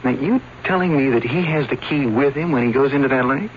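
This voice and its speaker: An actor playing a pushy, sly accomplice hatching a plot, in a vintage radio drama. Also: male voice, mid-range voice, brisk, close to an everyday voice. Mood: curious, puzzled. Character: pushy, plotting male accomplice, sly and impatient. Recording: dull, noisy old radio drama recording.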